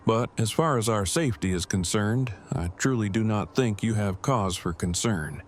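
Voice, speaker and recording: A man playing a formal, wordy, deadpan young father and husband in an audio drama, with precise phrasing. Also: on the low side, normal speed, a little hiss or hum, lightly acted. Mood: calm, reassuring. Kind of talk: fan audio drama acting.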